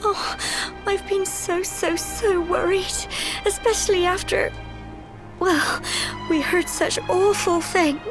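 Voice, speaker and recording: A gentle young villager in a video game cutscene. Her voice sits high and she speaks slowly, with earnest, emotional hesitations. Music swells clearly behind her. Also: fairly even intonation, heavily acted, low-quality recording. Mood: overwhelmed, tearful. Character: gentle, tender-hearted young village woman.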